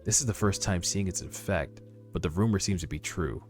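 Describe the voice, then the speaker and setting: A man voicing a calm, professional doctor, quiet and matter-of-fact, in a webtoon read-aloud. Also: deep voice, a little fast, natural voice. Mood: calm, clinical. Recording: background music under voice.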